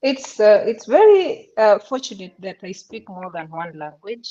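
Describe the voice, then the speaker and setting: A woman's voice: mid-range voice, sing-song, moderate pace, dark tone. A woman from Kenya, a guest on a video call, speaking thoughtfully. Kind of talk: casual video call chat. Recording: dull lo-fi call audio.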